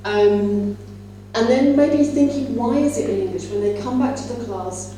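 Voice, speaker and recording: A woman's voice: fairly high pitch, a little slow, warm tone, faint music behind. Female conference speaker, teacher trainer, conversational and explanatory, heard from afar in a very reverberant room. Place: large indoor conference room. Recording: echoey hall, distant mic, faint hum.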